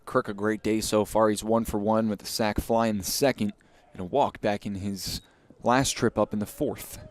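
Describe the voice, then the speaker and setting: A man's voice: fairly low pitch, fairly even intonation, moderate pace. A man giving analysis as a color commentator on a live baseball broadcast.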